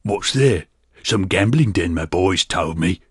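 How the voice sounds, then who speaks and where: A big grinning mercenary with a deep, husky voice and a gruff, teasing way of talking, as a male narrator puts him on. The sound is dry and close. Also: lively intonation, a little slow, fairly bright tone, no echo, heavily acted.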